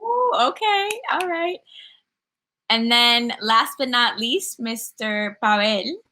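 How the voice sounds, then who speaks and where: Upbeat colombian-born lady hosting a chat, bright tone, a bit high, lots of quick yeses. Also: lively intonation, a little hiss or hum. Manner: upbeat, laughing, quick affirmations. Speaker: host. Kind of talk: casual panel chat.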